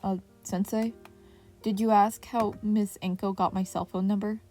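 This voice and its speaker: A woman's voice: medium pitch, level tone, moderate pace, warm tone, soft background music, lightly acted. Casting: weary male hero teacher in a story podcast, dry and grumbling played by a woman. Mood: wary grumbling. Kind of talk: audiobook-style fan fiction character reading.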